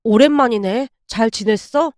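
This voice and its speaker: A friendly young man's part in a story drama, read by a woman in a high voice. The manner is gentle and casual. The sound is dry. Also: moderate pace, no echo, lightly acted. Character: friendly, considerate young man, the story's son.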